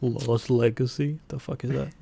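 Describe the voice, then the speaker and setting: A man's voice: mid-range voice, steady tone, moderate pace, vocal fry, dark tone, a little hiss or hum. A man co-hosting a casual review podcast, talking in a playful, slangy way. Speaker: podcast co-host, movie reviewer. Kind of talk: casual joking chat.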